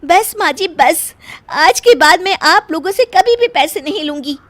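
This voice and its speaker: A woman's voice: very high voice, lively intonation, unhurried, voice pushed up. A woman voicing a breezy, defiant young shopaholic daughter-in-law in a theatrical cartoon style. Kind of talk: Hindi cartoon story acting.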